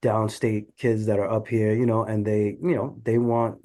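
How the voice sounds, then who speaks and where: A man who owns a café, answering interview questions in a relaxed, laid-back way, often saying "you know". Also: fairly low pitch, moderate pace. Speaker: café owner, guest.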